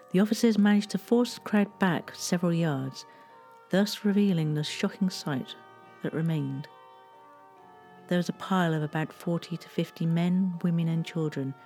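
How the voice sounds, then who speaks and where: A woman narrates a scripted account of history. Her voice sits fairly low, with a dark, relaxed tone. Soft music plays faintly underneath.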